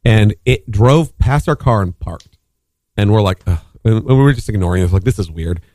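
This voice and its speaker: A man's voice: fairly low pitch, lively intonation, normal speed, a little mellow, no room sound, clean studio sound. A man telling a long story in casual chat, rambling with lots of fillers and restarts. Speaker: podcast co-host telling a story.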